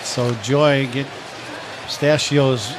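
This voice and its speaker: A man's voice: mid-range voice, steady tone, takes it slowly, crowd noise. A man giving conversational colour commentary on a live baseball radio broadcast. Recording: clear ballpark noise behind. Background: outdoor stadium ambience.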